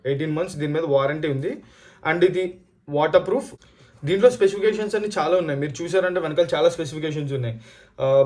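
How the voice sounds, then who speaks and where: A man's voice: a little high, darkish tone. A man from India with a Telugu accent, talking casually to viewers as a vlogger and often mixing in English phrases. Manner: code-switches often between Telugu and English. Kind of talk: casual product review and storytelling to viewers.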